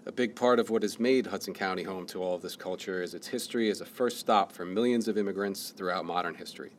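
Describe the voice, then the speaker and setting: A man's voice: sits low, quick pace, a little hiss or hum. A man hosting a radio interview, reading a prepared intro and then asking casual questions. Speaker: host, interviewer.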